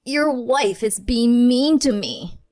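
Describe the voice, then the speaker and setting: A woman narrator voicing a spoiled, scheming mother-in-law in a spoken story, speaking slowly and whining. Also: a little high, expressive, a little mellow, voice pushed up. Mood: whiny.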